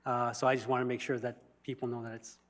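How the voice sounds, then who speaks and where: A man's voice: medium pitch, little pitch movement, fast. A man councillor speaks in a town council meeting in an ordinary mid-range voice. He works through a clarification carefully, with plenty of fillers along the way.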